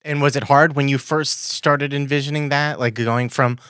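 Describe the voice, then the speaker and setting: A man with a medium-pitched voice hosts a casual podcast interview. He is a little tentative and tends to reword himself midway, recorded close and dry. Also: fairly even intonation, normal speed, a bit bright, clear, resonant tone, no room sound.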